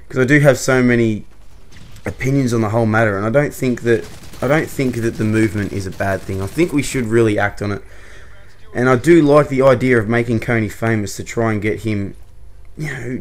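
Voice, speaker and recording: A young man talks to his camera in a loose, opinionated commentary. His voice sits at an ordinary middle pitch. He wanders off track, corrects himself and slips in small laughs. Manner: rambling and opinionated, self-correcting with short laughs. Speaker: YouTuber.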